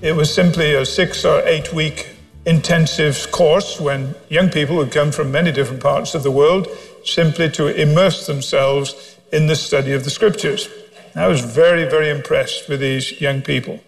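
A man's voice: a little high, steady tone, normal speed, creaky, faint music behind. A man, preaching a sermon as a story, humorous and self-deprecating. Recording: long hall reverb.

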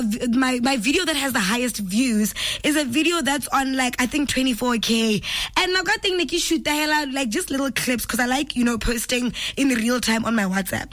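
High raspy woman radio host. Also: fairly bright tone. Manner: bubbly, motivational, affectionate. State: hoarse from flu. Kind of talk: casual radio chat.

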